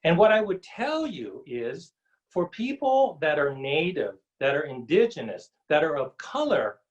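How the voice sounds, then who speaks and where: Samoan-born guy talking real slow on a video call, a bit high, muffled audio. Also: very animated. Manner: slow, deliberate, wordy with many ums. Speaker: guest speaker. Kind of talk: webinar talk. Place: home.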